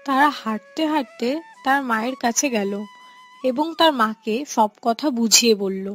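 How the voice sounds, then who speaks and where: Woman narrator for a cartoon story, kinda high voice, music playing under it. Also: normal speed.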